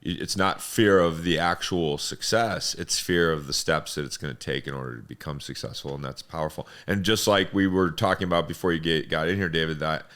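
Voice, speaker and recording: A man's voice: very deep voice, fairly quick, few pauses, a bit bright. A man hosting a conversational motivational discussion, reflectively restating and building on points. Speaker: host.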